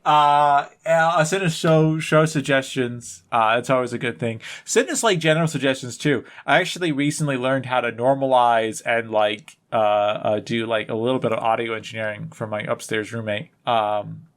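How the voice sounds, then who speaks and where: A man's voice: mid-range voice, steady tone, moderate pace, few pauses. A man co-hosting a podcast in casual, unscripted banter, rambling and often correcting himself in a self-deprecating way. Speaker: podcast co-host.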